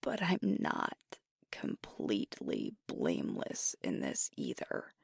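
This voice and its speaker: A woman playing a young, earnest, self-doubting alpha werewolf pack leader in an intimate ASMR roleplay, speaking softly and hesitantly in a breathy half-whisper. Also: extremely low pitch, wildly swooping pitch, no echo, studio quality, full character voice. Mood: doubting, troubled. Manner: hesitant, confiding, slow and reflective. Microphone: close mic, dry.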